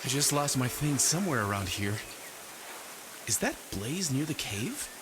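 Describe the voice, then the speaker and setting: An actor playing an earnest, adventurous hero on a quest in a scripted video game. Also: male voice, mid-range voice, big pitch swings, normal speed, noisy background, natural voice. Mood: eager, determined. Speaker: player character.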